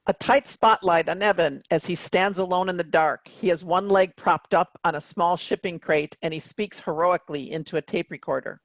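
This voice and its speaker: A woman's voice: on the low side, normal speed, hardly any pauses, no room sound, poor audio. A woman reading scripted stage directions as narration for a play.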